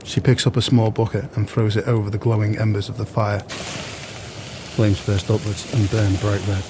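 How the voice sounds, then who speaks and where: A male narrator reads scripted stage directions for an audio play. His low voice is measured and very even, with faint ambience behind it. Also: noisy background.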